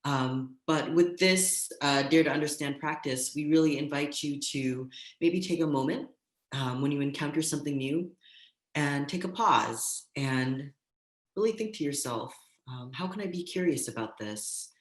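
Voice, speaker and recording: A woman's voice: sits low, normal speed, reverberant. A woman facilitating a live community event, speaking enthusiastically with many ums. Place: street corner outdoors.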